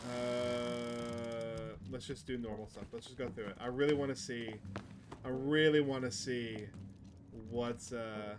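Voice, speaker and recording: A man's voice: mid-range voice, fairly even intonation, unhurried, music in the background. A man giving casual game commentary as a streamer, muttering half-thoughts and reading on-screen story text aloud. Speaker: streamer playing a visual novel.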